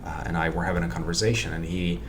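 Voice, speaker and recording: A man's voice: deep voice, level tone, fast. A man in his forties from Ukraine, a podcast guest talking reflectively and repeating words as he thinks aloud. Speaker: guest, meditation practitioner. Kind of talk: casual podcast conversation. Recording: distant mic with clear background noise.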